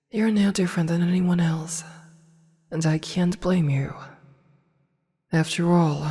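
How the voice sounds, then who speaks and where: A woman performs an evil empress in a fantasy ASMR roleplay, her voice low, mellow and breathy, often sinking toward a whisper. She speaks with slow, possessive authority and a seductive, teasing edge. Long reverb surrounds her, as in a great hall. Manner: slow, teasing, imperious, deliberate.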